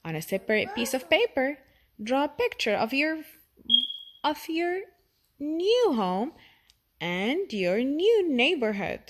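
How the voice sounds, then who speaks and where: A woman reading a children's storybook aloud, expressive and addressing the young listener directly. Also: a little high, slight background noise. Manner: expressive, asks the listener questions.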